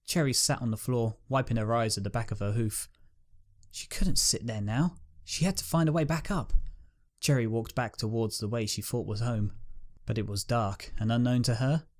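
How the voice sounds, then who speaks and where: A man's voice: medium pitch, moderate pace. A man narrating a dramatic scripted story as its reader.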